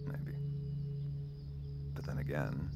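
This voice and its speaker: A man's voice: very deep voice, wildly swooping pitch, slow pace, vocal fry, loud music behind the voice, heavily acted. An actor playing a scared, earnest young man who refuses to give up, in an emotional, pleading audio drama style. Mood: weary, sincere. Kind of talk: naturalistic audio drama acting.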